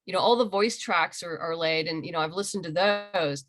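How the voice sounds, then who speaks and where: A woman's voice: on the low side, moderate pace, fairly bright tone. A woman being interviewed as a writer and creator, talking casually and enthusiastically on a video call. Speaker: interviewed comic book writer and audio drama creator.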